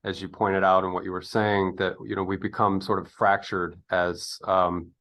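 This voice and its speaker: A man in his fifties hosting a reflective, casual interview, speaking haltingly as he searches for his words. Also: deep voice, little pitch movement, fairly bright tone. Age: 50s. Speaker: interview host.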